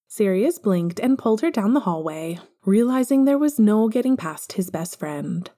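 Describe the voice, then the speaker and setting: A woman's voice: mid-range voice, animated, normal speed, dark tone. A woman reading scripted fiction as an audiobook narrator.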